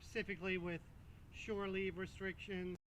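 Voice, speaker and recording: A man delivers a semi-formal ceremony speech as a visiting officer. His voice sits quite high for a man, and he proceeds haltingly with frequent pauses. There is clear vehicle and background noise behind him. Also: little pitch movement. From East Hampton, New York. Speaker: Coast Guard captain, guest speaker.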